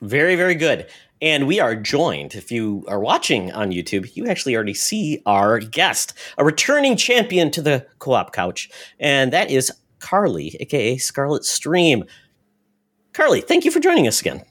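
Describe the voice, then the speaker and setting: A man's voice: medium pitch, huge pitch swings, moderate pace, a bit bright. A man speaking as a podcast host in a casual, chatty style. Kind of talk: casual podcast chat with sponsor read.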